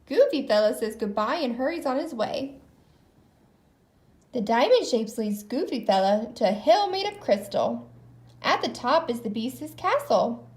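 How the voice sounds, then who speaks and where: A woman reading a children's story aloud in an expressive narrating style. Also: fairly high pitch, measured pace. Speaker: storybook reader.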